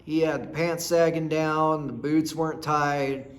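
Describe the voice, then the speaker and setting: A man's voice: a little high, steady tone, measured pace. A man in his thirties from rural Nebraska, telling personal stories in a blunt, folksy, rambling way. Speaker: storyteller host. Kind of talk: casual personal storytelling.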